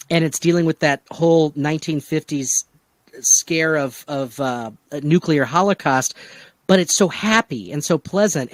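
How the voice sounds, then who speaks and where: A man's voice: fairly high pitch, no room sound. An American man chatting casually as a podcast co-host, with an emphatic, wry way of talking. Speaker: podcast co-host and audio editor. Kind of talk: casual chat.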